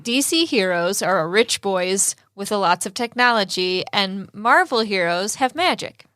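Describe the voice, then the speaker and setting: A woman's voice: medium pitch, animated, unhurried, a bit bright, no room sound, studio quality. A woman co-hosting a podcast in casual banter, playful and teasing as she talks. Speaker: podcast co-host.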